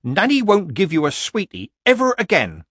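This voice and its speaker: A man's voice: sits high, wildly swooping pitch, moderate pace, a bit bright, no echo, clean studio sound, voice pushed up, full character voice. A man voicing a blunt, feisty old village witch in a storybook, scolding in colloquial speech.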